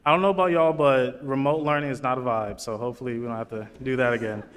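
A man's voice: medium pitch, fairly even intonation, fast talker, fairly bright tone, smooth. Young man's earnest graduation speech, echoing hall. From Chicago, USA. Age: young adult. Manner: earnest, chatty asides to the crowd. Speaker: student commencement speaker. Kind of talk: commencement speech. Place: large auditorium stage. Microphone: lectern microphone. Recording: long hall reverb.

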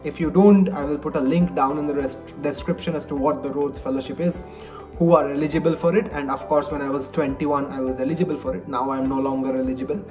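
A man's voice: a little high, fairly even intonation, quick pace. Young man, 20s, Indian English accent, native Bengali, earnest advice vlog, home study, faint background music. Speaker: vlogger, college lecturer. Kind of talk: personal advice vlog to camera. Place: home study lined with bookshelves, indoors. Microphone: webcam-style framing, head and shoulders.

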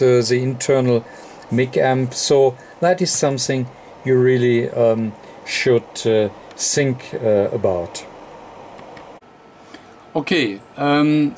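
Slow, halting man with non-native English accent. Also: medium pitch. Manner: halting, hesitant, very frequent ums and uhs. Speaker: electronics tutorial presenter. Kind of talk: unscripted technical explanation. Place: indoor electronics workbench. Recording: clear background noise.

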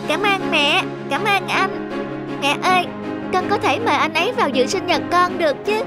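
Little schoolgirl for a cartoon, very high voice, lonely and apologetic, loud music bed. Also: normal speed. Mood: delighted. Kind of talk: Vietnamese cartoon drama dubbing.

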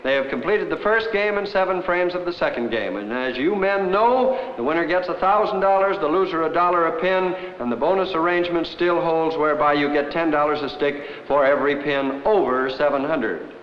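A man with an American accent commentating and hosting a televised bowling match in 1950s style, with folksy, excitable turns of phrase. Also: on the high side, brisk, few pauses. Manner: excitable, folksy exclamations on good shots. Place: bowling alley. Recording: dull, noisy 1950s television soundtrack.

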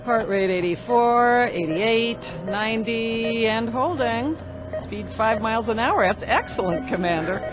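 An actress playing a brisk, wry medical technician, matter-of-fact and teasing in a radio drama. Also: female voice, a little high, expressive, over music.

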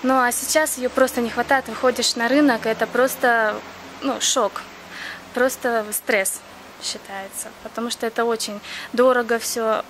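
A woman's voice: a little high, steady tone, moderate pace, a bit bright, a little hiss or hum, poor audio. A young woman from Crimea, earnestly answering interview questions in plain speech with frequent hesitations. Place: outdoors near a café.

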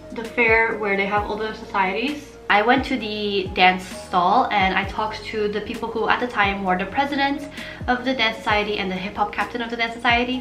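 A young woman from Portugal, talking casually to camera in an animated, chatty vlog style while gesturing with her hands. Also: medium pitch, echoey room. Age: young adult. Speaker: vlogger.

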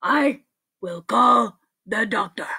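A woman voicing a bossy, blustering male school principal, loud and emphatic, in a children's story. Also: on the high side, lively intonation, slow pace, breathy edge, full character voice. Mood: self-assured. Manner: barking, emphatic.

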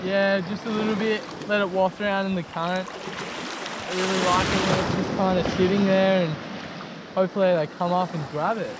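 A man's voice: sits high, fairly even intonation, normal speed. A young Australian man hosting a fishing vlog, laid-back and slangy, explaining each step. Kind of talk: casual outdoor how-to. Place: ocean beach outdoors, in the shallows. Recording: loud surf noise behind.

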